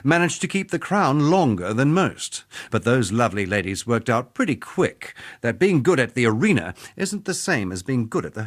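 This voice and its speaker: A battle-scarred veteran duelist for a video game. His mid-pitched voice moves expressively up and down. He speaks like a proud, wry storyteller, earthy and measured. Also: fairly bright tone. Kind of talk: dramatic video game character monologue.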